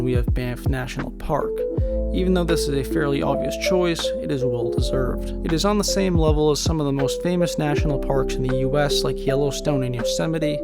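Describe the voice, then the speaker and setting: A man giving a steady, informative countdown in scripted travel narration. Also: on the high side, gravelly. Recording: voice-over with guitar music behind.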